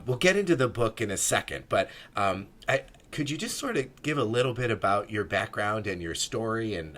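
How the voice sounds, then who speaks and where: A man hosting a podcast interview, his voice sitting on the low side. He asks his questions eagerly, tripping over himself with enthusiasm as he goes. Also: a bit bright. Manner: eager, enthusiastic, a bit scattered. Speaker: podcast host.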